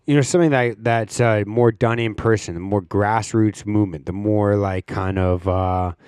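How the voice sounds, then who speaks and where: Young male podcast host: low, resonant voice, reflective rambling monologue, some swearing. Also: steady tone, moderate pace, darkish tone, clear, resonant tone, no echo, clean studio sound. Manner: rambling, reflective, swears casually, many fillers.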